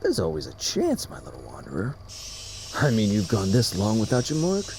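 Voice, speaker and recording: A very low, gravelly father pony, weary but affectionate and gently teasing. His delivery swings widely in pitch, as in an audio drama, over clearly audible background noise. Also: wildly swooping pitch, normal speed, exaggerated voice. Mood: reassuring. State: weary, stressed. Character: tired, affectionate father pony, a stallion mechanic.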